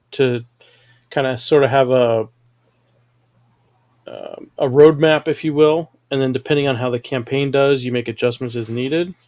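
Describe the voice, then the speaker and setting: A man of ordinary pitch hosts a relaxed livestream conversation, thinking aloud with frequent fillers. The sound is dry and close to the mic, with no room around it. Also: dry recording. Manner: conversational, explains ideas with fillers. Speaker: show host. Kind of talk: casual livestream chat.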